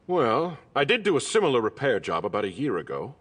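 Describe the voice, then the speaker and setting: Lecherous shopkeeper, oily courtesy, very animated mid-pitched voice, audiobook drama. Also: male voice, a little fast, husky, heavily acted. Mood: oily, obliging. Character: smarmy, lecherous male shopkeeper, flirtatious with customers.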